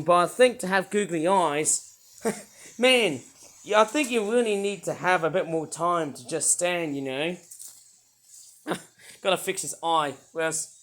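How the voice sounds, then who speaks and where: A British man with a croaky voice, casually reviewing toys in a rambling, enthusiastic way with lots of hesitations. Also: high voice, fairly bright tone. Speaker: toy reviewer.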